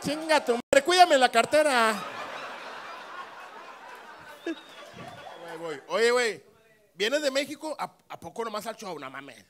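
A middle-aged man with a Mexican Spanish accent doing stand-up crowd work on a handheld mic, brash and teasing, swearing and bursting into shouts. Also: extremely high pitch, very animated, a little slow, slight background noise. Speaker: stand-up comedian working the crowd. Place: small comedy club stage with live audience.

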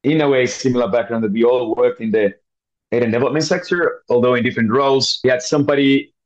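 A man's voice: medium pitch, quick pace. Finnish-accented man on dull audio. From Finland. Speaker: podcast guest, scientist. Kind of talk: conversational interview answers.

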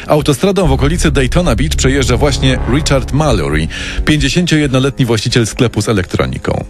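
A man tells a true-crime story in scripted radio style, with dramatic delivery. His mid-pitched voice moves through a lively range, with music behind it. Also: fairly quick. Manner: dramatic storytelling in present tense. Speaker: narrator.

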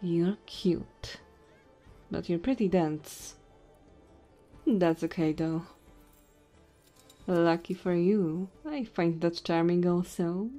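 Woman playing a slow-talking tipsy goblin innkeeper woman. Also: fairly low pitch, mellow, faint music behind. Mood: flirty, coaxing. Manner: teasing, intimate, drawn-out drunken musing. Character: tipsy, flirty, short female goblin innkeeper. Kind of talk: ASMR audio roleplay.

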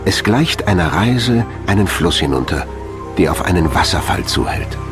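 A man's voice: deep voice, sing-song, moderate pace. A man reading scripted documentary narration as the narrator. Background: music.

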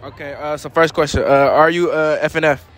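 A man's voice: fairly high pitch, fairly even intonation, fairly quick, fairly bright tone. A young man doing casual, slangy street interviews outdoors, often echoing back the answers he gets. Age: young adult. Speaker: street interviewer on a college campus. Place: outdoors on a busy college campus. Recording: outdoor campus chatter.

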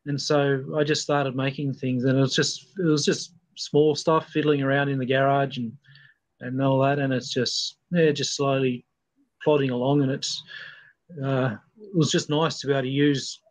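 A middle-aged Australian man recollecting casually on a video call, in an understated, halting way. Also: mid-range voice, level tone. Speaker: podcast guest. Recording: dull video-call audio.

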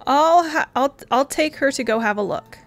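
High-voiced woman gamer. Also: moderate pace, soft background music. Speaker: gamer playing on a video series. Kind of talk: reading game dialogue aloud during let's play.

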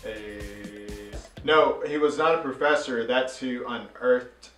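A man's voice: mid-range voice, slow pace, fairly bright tone, soft background music. Roomy-sounding man in casual chat. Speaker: co-host of a comedy trivia show. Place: small furnished room indoors. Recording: roomy echo, slightly distant mic.

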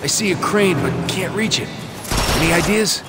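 A man's voice: high-pitched, moderate pace, very noisy, lightly acted. A man playing a brash, confident robot soldier in a video game, with casual, clipped, cocky lines. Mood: focused, confident. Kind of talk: scripted video game action dialogue. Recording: echoey long reverb, loud music.

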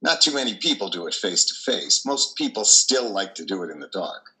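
Older man playing a weary priest, sharp bright voice. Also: deep voice, very animated, a little fast, exaggerated voice, rough recording. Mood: wry reflection. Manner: reflective, wry. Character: weary, haunted male priest reflecting on confession. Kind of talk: dramatic monologue reading. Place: dim wood-trimmed room at home, video call.

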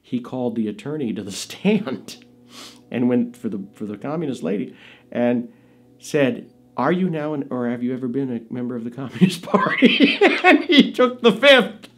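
A man's voice: mid-range voice, lively intonation, normal speed. An American man in his fifties telling chatty anecdotes casually in an interview, as a raconteur. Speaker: interviewee, actor and filmmaker recalling his father. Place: small indoor studio with dark curtains.

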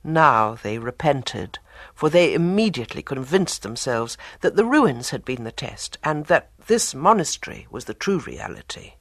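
A storyteller reading a tale as an audiobook, in a soft, breathy voice with measured delivery and dramatic pauses. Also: mid-range voice, big pitch swings, no room sound. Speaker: storyteller narrator. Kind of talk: scripted audiobook story narration.

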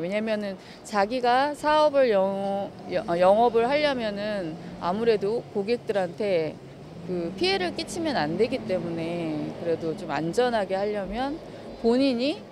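A woman's voice: medium pitch, darkish tone, slight background noise. A young woman in a street interview on a city sidewalk, reasoning aloud in a chatty way into a handheld mic. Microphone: handheld mic held by interviewer.

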